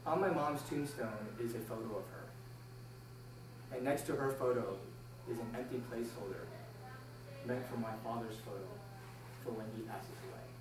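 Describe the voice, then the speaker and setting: A young man from Taiwan delivers a prepared personal speech to an audience. He tells it deliberately, pausing between phrases. The recording is roomy and distant, with faint noise behind him. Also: medium pitch. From born in Taiwan, moved to the United States at six. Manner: deliberate, measured storytelling with pauses. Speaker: speech club member giving a speech. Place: indoor room, recorded from a distance.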